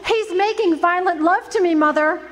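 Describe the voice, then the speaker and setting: A woman's voice: very high voice, normal speed, slight background noise. An actress playing a teasing young woman in love, speaking coyly in a live amateur radio drama.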